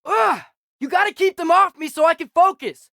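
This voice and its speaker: A young male actor playing an earnest, impulsive teenage boy archer in a video game, expressive and youthful. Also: extremely high pitch, normal speed, creaky, no echo, clean studio sound, exaggerated voice. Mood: urgent. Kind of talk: video game character dialogue.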